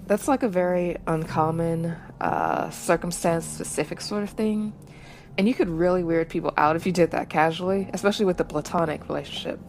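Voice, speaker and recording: A woman hosting a podcast, giving thoughtful solo commentary in a measured, reflective way with small digressions. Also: on the low side, darkish tone. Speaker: podcast host reacting to anime.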